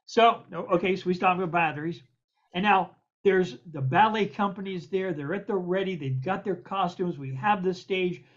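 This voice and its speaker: An older man, a producer and co-host, telling an emphatic, animated story over a video call. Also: high voice, normal speed. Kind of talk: casual storytelling. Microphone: video call webcam. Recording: dull lo-fi video call audio.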